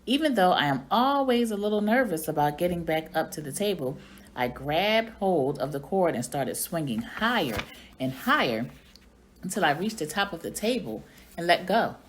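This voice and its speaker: A woman reading a children's story aloud like a teacher, with expressive narration. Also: fairly low pitch, normal speed, a little hiss or hum.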